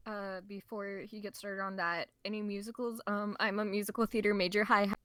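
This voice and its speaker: A woman's voice: medium pitch, little pitch movement, brisk, a bit bright, no room sound, clean studio sound. A young woman voice actor chatting casually on a panel. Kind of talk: casual chat.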